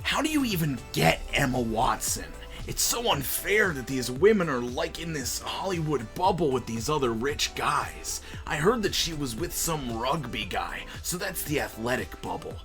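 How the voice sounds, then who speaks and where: A man's voice: mid-range voice, very animated, normal speed, music in the background. A man reading out an entitled, pleading lovesick guy in a whiny way for a comedy video. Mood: envious, pleading.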